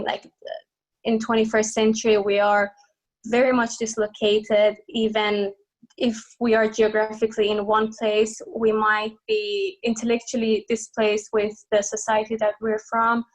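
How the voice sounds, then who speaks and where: A woman's voice: on the high side, flat delivery, measured pace, low-quality recording. A woman from Iran with an Iranian accent, explaining her work as an interview guest in hesitant, thoughtful phrasing.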